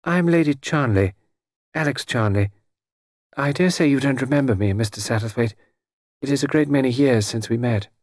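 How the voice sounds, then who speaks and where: A man's voice: medium pitch, normal speed, a little mellow, no echo, studio quality, voice pushed up, close to an everyday voice. A man voicing a reserved aristocratic lady on the telephone in an audiobook, small-voiced, cold and formally polite. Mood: cool, formal.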